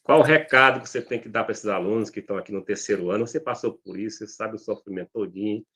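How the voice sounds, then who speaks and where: A man's voice: fairly low pitch, very fast, a bit bright, breathy edge. A middle-aged man from Brazil, hosting as a teacher. He talks informally and hands the floor to his guests in a friendly tone. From Brazil, Maranhão. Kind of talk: casual presenting.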